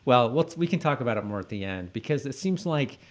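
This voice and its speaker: A man's voice: medium pitch, lively intonation, fast talker. A man co-hosting a podcast in casual conversation, often repeating words as he thinks aloud. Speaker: podcast co-host.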